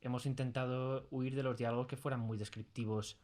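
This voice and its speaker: Mid-pitched man from explaining casually. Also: little pitch movement, fairly quick, darkish tone. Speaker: audio drama creator being interviewed. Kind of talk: casual conversational interview. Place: recording studio.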